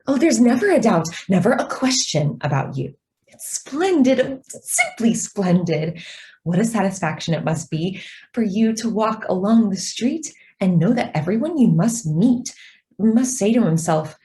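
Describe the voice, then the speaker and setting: Actress as idealistic young female secretary, radio drama, mellow, earnest, deliberate emphasis, devoted. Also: mid-range voice, big pitch swings, slight background noise. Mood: admiring, fervent. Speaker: actor.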